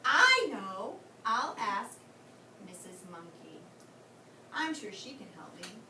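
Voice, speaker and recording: A woman voicing an anxious, tearful mother kangaroo in a storybook, pleading in a high, breathless voice. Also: big pitch swings, slow pace, bright, forceful tone, full character voice. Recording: echoey room, far from mic, background noise.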